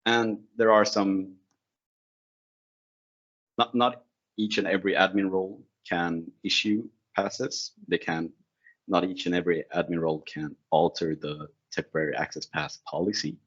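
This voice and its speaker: Male tech presenter, non-native English, low-pitched and conversational, muffled lo-fi meeting audio. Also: level tone, unhurried, a bit bright. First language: not English. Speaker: presenter, IT security consultant. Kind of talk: informal technical presentation with slides. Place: online video call screen share. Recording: dull, lo-fi online meeting audio.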